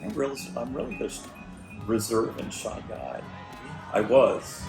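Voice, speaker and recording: A middle-aged man telling his story as an interview guest, slightly raspy, with lots of restarts and fillers. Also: mid-range voice, wildly swooping pitch, unhurried. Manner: hesitant, many restarts and fillers. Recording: echoey room with music behind.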